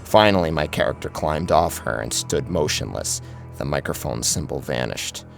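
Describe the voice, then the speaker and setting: A male narrator reads a first-person horror tale with theatrical intensity. His voice is deep and gravelly and moves through a lively range. Music plays clearly behind him. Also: moderate pace.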